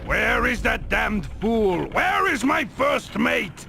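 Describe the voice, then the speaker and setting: Grumpy pirate captain, slow swaggering drawl, big swoops, loud noise behind. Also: male voice, extremely high pitch, big pitch swings, gravelly, full character voice. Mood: irritated, scornful. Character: gruff, surly pirate ship captain, scornful of his crew.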